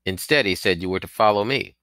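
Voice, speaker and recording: A man's voice: lowish voice, a little fast, bright, forceful tone, dry recording, studio quality, voice pushed up. A man reading the part of a hesitant, evasive village boy bringing a message in a storybook. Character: village boy, slightly older, hesitant messenger.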